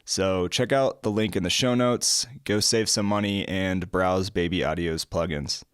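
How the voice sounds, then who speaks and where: Deep smooth guy doing a chill sponsor read, dry close sound. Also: a little slow, no room sound. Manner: relaxed, chatty pitch with shout-outs. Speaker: podcast host reading a sponsor spot. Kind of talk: casual ad read.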